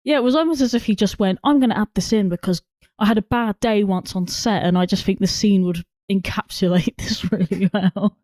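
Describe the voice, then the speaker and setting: A woman's voice: medium pitch, fairly quick, mellow, dry recording. A woman with a British accent giving blunt, exasperated opinions in a casual podcast chat about films. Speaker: podcast co-host. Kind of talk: casual film discussion.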